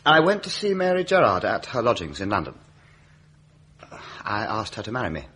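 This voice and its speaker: Prickly young gentleman, husky, swooping pitch. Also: wildly swooping pitch, moderate pace, heavily acted. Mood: guarded. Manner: clipped, hesitant, defensive. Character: reticent, prickly young upper-class gentleman in witness box. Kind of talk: radio courtroom drama acting.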